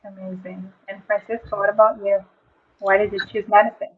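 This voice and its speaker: A woman's voice: medium pitch, level tone, a little fast, slightly rough. A woman hosting an interview with students, upbeat with short reactions. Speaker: interview host. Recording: tinny phone-like audio.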